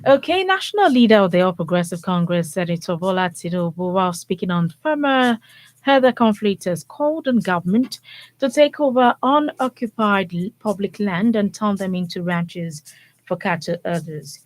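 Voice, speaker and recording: A woman's voice: medium pitch, animated, moderate pace. A woman with a Nigerian accent presenting radio news, reading formally and hosting from the studio.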